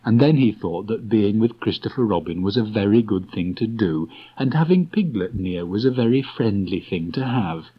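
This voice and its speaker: A man's voice: on the low side, animated, moderate pace, few pauses, slightly rough. A man narrating a children's story from a script as an audiobook reader. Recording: dull restored cassette tape.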